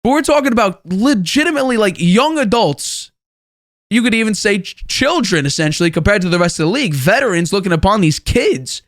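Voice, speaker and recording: A young man of about twenty with a high-pitched voice hosts a solo sports show. He talks in an opinionated, conversational way and hammers points home by repeating himself. The sound is close and dry, with very little room in it. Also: animated, brisk, a bit bright, dry recording. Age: 20 years old. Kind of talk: sports commentary monologue.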